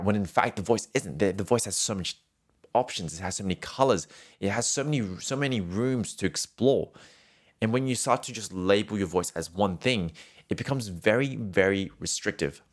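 Deep-voiced man coaching singers. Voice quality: rich, low voice. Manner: earnest, repetitive, keeps adding "right?". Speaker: singing teacher. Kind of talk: casual coaching talk to camera.

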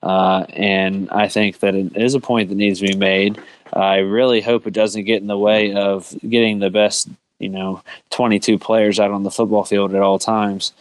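A man's voice: lowish voice, little pitch movement, moderate pace, dry recording. A man on a sports talk panel giving earnest, opinionated takes in casual discussion.

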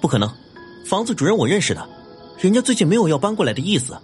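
Suspicious night-patrol policeman: officious, fast, animated, radio drama with music bed. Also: high-pitched. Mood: wary. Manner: brisk, officious, questioning. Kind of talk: audio drama acting.

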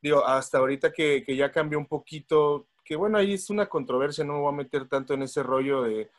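A man's voice: on the high side, fast talker. A man with a Mexico City accent chatting casually, slangy and sweary, often repeating words as he goes. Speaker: podcast panelist. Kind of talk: casual chat.

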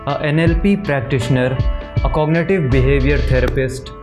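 A man's voice: medium pitch, moderate pace, over music. A man hosting a podcast, introducing his guest and asking questions in an admiring way with some fillers. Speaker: podcast host. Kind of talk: interview host introduction and questions.

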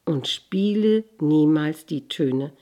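A woman speaks as a wise storybook sun. The voice is low and mellow, with firm, measured emphasis that sounds solemn and commanding yet kind. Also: animated. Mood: solemn, kindly. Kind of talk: fairy-tale storytelling.

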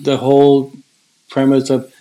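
An older man talks in a casual conversation, earnest and reflective, and he stutters often, repeating words and restarting phrases. His voice sits at a middle pitch for a man. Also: completely flat delivery, measured pace, mellow, rough recording. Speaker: guest.

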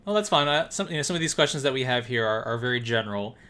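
A man's voice: mid-range voice, animated, rapid-fire, bright, forceful tone, smooth. A man hosting a casual podcast, asking questions with hesitant fillers. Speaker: podcast host.

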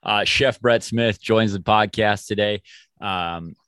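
A man's voice: on the low side, takes it slowly, background noise. A man from the Midwest hosting a podcast, asking chatty, rambling questions with restarts. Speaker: podcast host. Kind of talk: casual interview chat.

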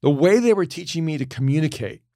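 A man's voice: a little high, lively intonation, fast, mellow, dry recording. Middle-aged man, pastor and communication teacher, reflective storyteller, dry close sound. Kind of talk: casual podcast conversation.

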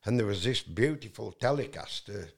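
A loud-voiced man from England spins a meandering anecdote as a guest. His voice sits a little low for a man. He restarts often and fills his pauses with fillers. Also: lively intonation, creaky. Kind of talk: rambling anecdotal storytelling.

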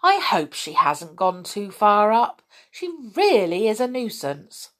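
A woman's voice: medium pitch, big pitch swings, takes it slowly, voice pushed up. A woman voicing a bossy, exasperated little male fairy-folk rescuer in a children's story, emphatic and impatient.

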